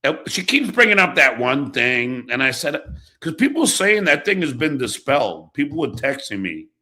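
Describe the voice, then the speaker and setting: A man's voice: mid-range voice, very animated, moderate pace, sharp tone. A man hosting a casual talk show, rambling and ranting with lots of swearing and repetition. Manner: foul-mouthed, rambling, repeats himself. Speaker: show host.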